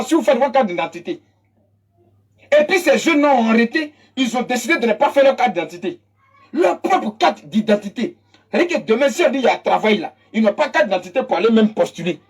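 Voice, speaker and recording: Husky-voiced Ivorian political commentator. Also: mid-range voice. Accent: Ivorian French. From Côte d'Ivoire. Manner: emphatic and mocking, repeats phrases for effect. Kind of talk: impassioned political monologue.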